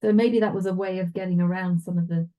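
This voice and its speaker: A woman with a dark, mellow voice that sits a little low. She talks casually as a co-host giving commentary. Her delivery is reflective and somewhat tentative. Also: fairly even intonation, quick pace, poor audio. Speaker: podcast co-host reviewing films.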